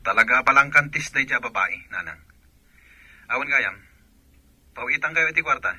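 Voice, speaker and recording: A man's voice: medium pitch, expressive, moderate pace, bright, forceful tone, breathy edge, no room sound, exaggerated voice. A man playing a jealous, accusing soldier husband in a radio drama, with a Filipino accent. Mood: complaining.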